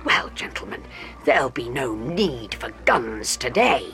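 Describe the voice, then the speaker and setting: A woman voicing a calm, dry-witted male doctor who speaks in a hushed, near-whispered tone of authority. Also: rumbling low, a little slow, over music, pitched below the performer's own voice, heavily acted. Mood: wry, commanding.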